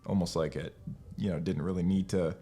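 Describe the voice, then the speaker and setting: A man's voice: deep voice, steady tone, fairly quick, warm tone, slightly rough, faint music behind. A man hosting a casual podcast chat, with a hesitant, meandering way of talking. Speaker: podcast host.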